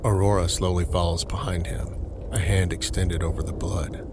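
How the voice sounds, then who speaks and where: A man's voice: low voice, fairly even intonation, moderate pace, background noise. A man reading scripted narration for a horror mystery audio drama.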